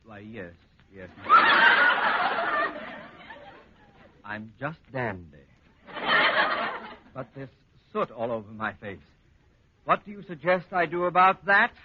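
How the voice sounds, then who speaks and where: Stuffy sardonic male principal, formal and animated, vintage radio comedy, muffled noisy sound. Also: medium pitch, measured pace, pauses often. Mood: seething politeness. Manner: formal, dry sarcasm. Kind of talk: 1940s radio comedy acting.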